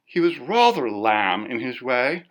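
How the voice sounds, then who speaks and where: A man's voice: medium pitch, big pitch swings, moderate pace, natural voice. A man voicing a chatty society hostess in an audiobook, fond, gushing and exclamatory in an affected way. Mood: fond, curious. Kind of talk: audiobook character dialogue.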